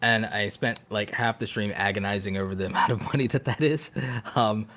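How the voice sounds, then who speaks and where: A man's voice: on the low side, brisk, a bit bright. A man co-hosting a casual podcast, talking emphatically and with strong opinions, repeating phrases to drive points home. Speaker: podcast co-host.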